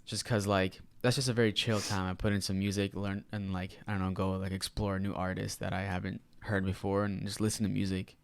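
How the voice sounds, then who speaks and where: A man's voice: low voice, little pitch movement, a little fast, few pauses, smooth, dry recording. A young man, a native Spanish speaker, co-hosting a podcast in informal, slangy, casual conversation. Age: young adult. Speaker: podcast co-host. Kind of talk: casual chat.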